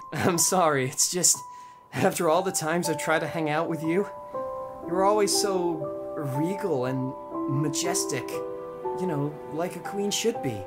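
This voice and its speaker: A woman's voice: low voice, measured pace, over music. A woman voicing a young male dragon who stammers when flustered, in a fan audio drama. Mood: amused. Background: loud musical score.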